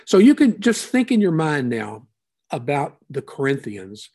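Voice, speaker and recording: A man preaching a conversational sermon as a pastor, unhurried and thinking aloud with frequent asides. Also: on the high side, wildly swooping pitch, darkish tone, slightly rough.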